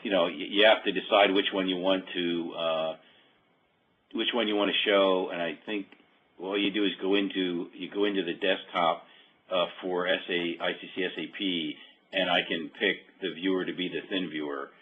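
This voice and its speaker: A man giving an informal software walkthrough, explaining in a halting, hesitant way. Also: low voice, fairly even intonation, husky. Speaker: software demonstrator. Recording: dull phone-like conference-call audio.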